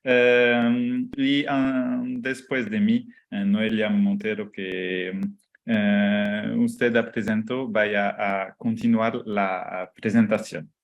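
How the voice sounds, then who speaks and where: A man whose first language is Portuguese gives an online lecture over slides, speaking slowly and haltingly with many fillers. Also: lowish voice, level tone, muffled recording. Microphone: computer mic on a video call.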